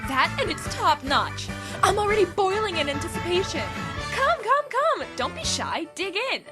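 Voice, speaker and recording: A woman voicing a bossy, excitable teenage girl who leads a school club, speaking in a commanding, enthusiastic way. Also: very high voice, normal speed, music in the background. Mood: excited. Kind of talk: fan audio drama acting.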